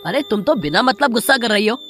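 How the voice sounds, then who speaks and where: A put-upon young farmer husband, voiced by a woman, weary and plaintive. The delivery swings widely in pitch, with soft music behind the village story drama. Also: lively intonation, fast. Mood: exasperated. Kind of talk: dramatised Hindi moral story.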